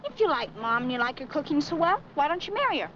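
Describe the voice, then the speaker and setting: A high, piping young boy in a 1940s film. He is eager and cheeky. The soundtrack is dull and clearly noisy. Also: sing-song, quick pace, bright, forceful tone, exaggerated voice. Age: child.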